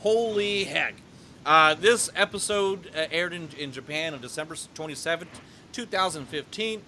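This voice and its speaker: A man hosting a casual podcast chat, animated and swearing casually as he talks. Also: a little high, normal speed, slight background noise. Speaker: podcast host.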